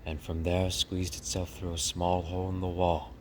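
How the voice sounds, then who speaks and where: Male narrator for children's fiction: very low, unhurried, deliberate, clearly noisy recording. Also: little pitch movement. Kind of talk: dramatic storybook narration.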